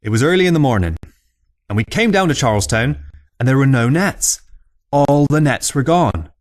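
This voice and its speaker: A man voicing an old Cornish fisherman telling a tall pub tale in animated, exclamatory dialect. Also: mid-range voice, moderate pace, pitched above the performer's own voice, natural voice. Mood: excited, astonished.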